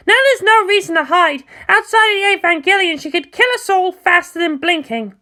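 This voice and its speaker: A woman plays a fiery teenage girl mecha pilot from an audiobook story. The voice is pitched very high and swings energetically, with blunt, sarcastic, combative emphasis. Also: expressive, a little fast, bright, forceful tone, heavily acted. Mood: urgent, boastful. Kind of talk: acted story dialogue.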